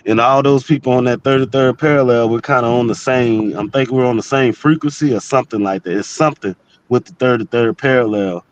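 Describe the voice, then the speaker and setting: A man's voice: medium pitch, fairly quick, muffled recording. A man chatting casually in an open panel debate, talking in a loose, rambling, slangy way with some swearing. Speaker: panel participant. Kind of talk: casual group debate chat.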